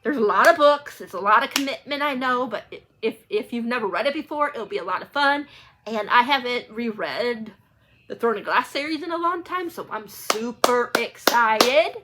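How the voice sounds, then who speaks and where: A woman's voice: a little high, lively intonation, moderate pace, sharp tone, slight background noise. A woman talking casually to viewers in a rambling, informal book vlog, often getting sidetracked. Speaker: book channel vlogger.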